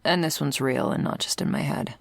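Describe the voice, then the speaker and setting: A woman playing a weary, sardonic investigator of vampire lore in an intimate ASMR roleplay, speaking drily and sometimes hushed. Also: expressive, a little fast, dry recording, full character voice. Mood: weary, reluctant. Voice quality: husky, creaky low voice. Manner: dry, sardonic, swearing, hushed in places.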